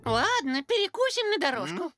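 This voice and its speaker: An actor voicing a silly, excitable male crocodile henchman in a cartoon, with a goofy manner. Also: extremely high pitch, normal speed, noisy background. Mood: matter-of-fact. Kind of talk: cartoon dub acting.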